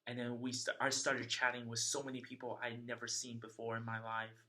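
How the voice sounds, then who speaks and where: A young man from China telling a travel story in casual chat, chatty and halting with many fillers. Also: mid-range voice, monotone, bright, forceful tone. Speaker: interviewee sharing a travel story.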